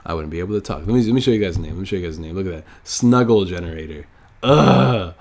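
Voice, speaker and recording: Low, nasal-sounding sick young man. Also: lively intonation, quick pace, vocal fry, darkish tone. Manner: casual, thinks aloud, laughs often. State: sick, stuffy nose. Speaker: card game streamer. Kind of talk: live gameplay commentary.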